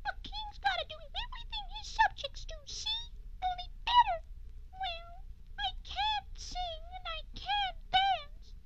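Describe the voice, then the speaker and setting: An actor voicing a tiny, grumpy, lonesome mouse king with a squeaky childlike whine, in an old children's story record. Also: unhurried, lo-fi sound. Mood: whiny, defensive. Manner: whiny, defensive. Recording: noisy old 78 rpm record.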